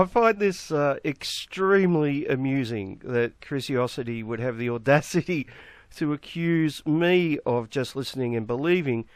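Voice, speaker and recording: Man, croaky flu voice, sarcastic, rebutting, dry sound. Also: fairly high pitch, no room sound. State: has the flu, croaky throat. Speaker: YouTube commentator. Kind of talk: argumentative response video.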